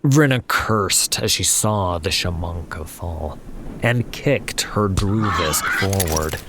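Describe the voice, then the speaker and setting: A man narrating a fantasy story as an audio drama, with measured, deliberate, dramatic phrasing. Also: deep voice, lively intonation, noisy background. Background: prominent background music.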